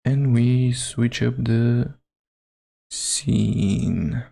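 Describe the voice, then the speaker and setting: A man's voice: mid-range voice, steady tone, drawn-out words, creaky, dark tone, breathy edge. A man chatting casually as a live game streamer, hesitant and muttering to himself.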